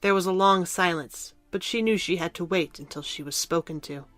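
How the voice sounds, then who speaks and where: A woman's voice: fairly low pitch, moderate pace, a bit bright, faint music behind. A woman narrator reading scripted narration for a fantasy audio drama.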